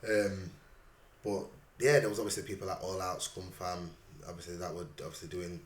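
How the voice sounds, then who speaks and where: A man's voice: low-pitched, little pitch movement, a little fast. A man with a Sheffield accent, a rapper chatting casually in an interview, laid-back and full of fillers. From Sheffield, England. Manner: laid-back, lots of fillers and repeated "obviously". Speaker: rapper guest. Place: recording studio. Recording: roomy studio with faint noise.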